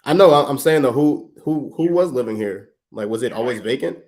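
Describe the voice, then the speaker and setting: A man from Trinidad, hosting a livestream and making a relaxed, friendly sales call to a property owner, full of slang and quick restarts. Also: mid-range voice. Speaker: real estate investor, livestream host. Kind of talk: casual livestream chat and sales phone call.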